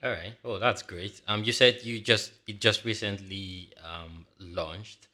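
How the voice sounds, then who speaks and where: A man hosts an interview podcast, his voice low and unhurried. His tone is bright and forceful, and he opens with a measured welcome before drawing out his guest with brief encouraging remarks. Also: steady tone. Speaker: podcast host.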